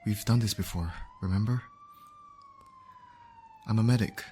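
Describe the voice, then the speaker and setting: A man acts out a male medic in an audio roleplay, with a fairly low voice. He talks straight to his injured patient, steady and caring even under pressure. Some background noise and emergency ambience sit behind him. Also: unhurried, lightly acted. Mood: soothing, concerned. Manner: reassuring, talking directly to an injured patient. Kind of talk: ASMR roleplay voice acting.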